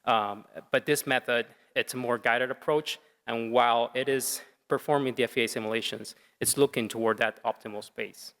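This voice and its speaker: A man's voice: mid-range voice, fairly even intonation, moderate pace, hardly any pauses, fairly bright tone. Man explaining with ums, hall echo. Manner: explanatory, frequent "um". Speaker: student team member. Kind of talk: answering jury questions.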